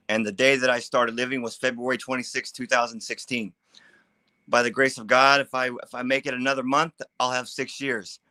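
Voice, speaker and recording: A man's voice: medium pitch, bright, forceful tone, no room sound. A man in his forties from California, giving his recovery testimony in a candid, plainspoken way with casual grammar. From California, USA. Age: 40s. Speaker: recovery advocate guest. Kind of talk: personal testimony.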